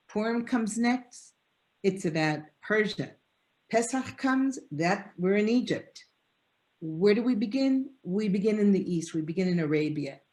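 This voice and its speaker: A woman's voice: medium pitch, darkish tone. A woman professor answering a panel question over a video call, talking in an earnest, discursive way with restarts. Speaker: guest speaker, professor. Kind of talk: lecture-style answer in an online panel.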